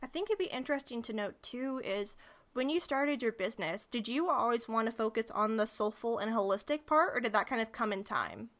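A woman's voice: fairly high pitch, fairly quick, a bit bright. A woman hosting a podcast, chatting casually and gushing with praise for her guest. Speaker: podcast host. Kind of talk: casual interview chat.

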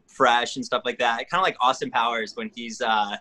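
A man's voice: mid-range voice, steady tone, normal speed, sharp tone. A man in his twenties, a podcast guest, explaining things in a rambling way full of fillers. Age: 20s. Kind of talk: casual chat.

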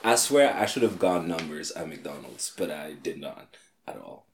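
Young man, French accent, deep smooth voice, lively delivery; casual podcast guest in an echoey room. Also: moderate pace. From France. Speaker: podcast guest, actor and comedian. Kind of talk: casual chat. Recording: echoey room with faint noise.

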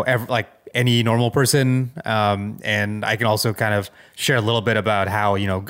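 A man co-hosting a podcast in casual chat, thinking aloud in a tentative, halting way. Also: fairly low pitch, fairly bright tone. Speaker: podcast co-host.

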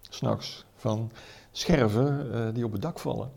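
A man's voice: mid-range voice, a little slow, creaky, a little mellow, husky. A man explaining things conversationally as an expert interviewee, with emphatic repetition and colloquial asides. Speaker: military historian, expert interviewee.

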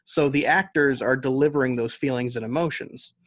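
A man's voice: mid-range voice, a little fast, a bit bright, no echo. American man explaining in an interview, dry close sound. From United States. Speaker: podcast guest, novelist and audio drama writer. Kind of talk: conversational interview, explaining his craft.

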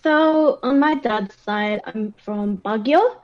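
A woman's voice: a little high, takes it slowly, muffled recording. A woman with an Australian accent chatting casually and telling personal stories in an informal, talkative way, as a guest in an interview. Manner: chatty, animated retelling with acted-out quotes and frequent "like".

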